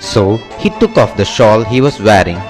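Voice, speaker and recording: A man narrating a children's story in a clear, expressive way. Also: on the low side, moderate pace. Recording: music under the narration.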